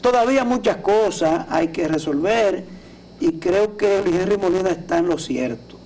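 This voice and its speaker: A man's voice: high voice, lively intonation, moderate pace, a little mellow. A middle-aged man with a Dominican accent commenting on the news as co-host of a TV talk show. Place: TV studio at a desk. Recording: distant mic with clear background noise.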